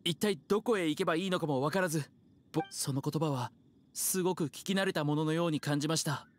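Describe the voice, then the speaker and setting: A man's voice: fairly high pitch, fast, lightly acted. A man voicing a polite, timid young villager in a video game, hesitant and apologetic. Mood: confused, apologetic.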